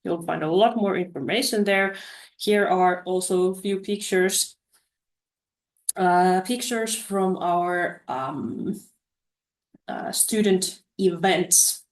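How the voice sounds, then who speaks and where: A woman presenting study opportunities over a webinar, halting, with many fillers and restarts. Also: lowish voice, roomy sound.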